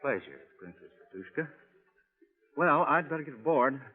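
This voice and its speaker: An actor playing a polite, formal, slightly hesitant doctor in an old radio drama. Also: male voice, medium pitch, sing-song, normal speed. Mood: politely hesitant. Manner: formal, mild. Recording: echoey, narrow vintage radio recording.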